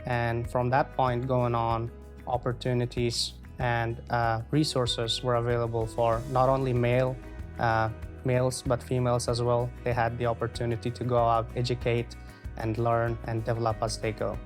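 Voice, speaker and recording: Earnest young man, flat steady delivery. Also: medium pitch, faint music behind. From Afghanistan. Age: 20s. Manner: earnest, measured, with frequent uh fillers. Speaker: guest. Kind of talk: interview answers.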